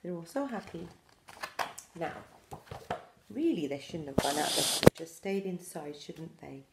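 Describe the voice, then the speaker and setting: A woman in her forties gently reading a picture book aloud to pre-school children, with expressive storytelling. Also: low voice, unhurried, gravelly, echoey room, very noisy. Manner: gentle, addressing small children. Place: small room indoors.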